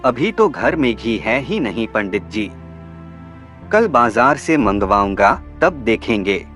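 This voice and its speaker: A man's voice: medium pitch, sing-song, takes it slowly, a bit bright, soft background music. A man voicing a miserly, vain rich merchant in a storybook tale, evasive and grumbling. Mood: reluctant. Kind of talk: storybook narration.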